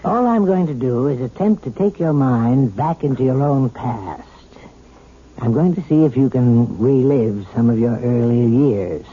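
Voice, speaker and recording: An actor playing a calm, authoritative hypnotist doctor in 1970s radio drama, measured and reassuring. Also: male voice, medium pitch, husky, narrow, tinny audio. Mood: reassuring, explanatory. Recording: thin, faintly noisy old radio broadcast.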